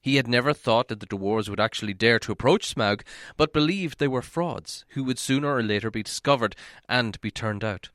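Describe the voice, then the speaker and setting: A male audiobook reader narrates a storybook expressively. His voice sits at a medium pitch, and the sound is dry with little room around it. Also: normal speed, a bit bright, no echo.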